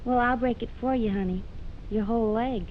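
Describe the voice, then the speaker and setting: An actress playing a brazen, flirty, wisecracking gangster's moll in a vintage radio detective drama, coy and sardonic. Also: female voice, on the high side, a little fast, lightly acted. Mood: sardonic teasing. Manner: coy, sardonic, teasing with pet names. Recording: tinny, noisy old radio broadcast.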